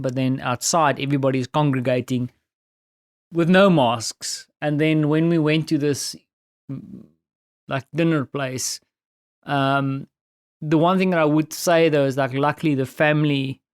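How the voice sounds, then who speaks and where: A tired man with a South African accent and Afrikaans as his first language, talking in a casual, reflective, halting solo audio diary. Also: mid-range voice, smooth, dry recording, studio quality. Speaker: podcast host recounting his day.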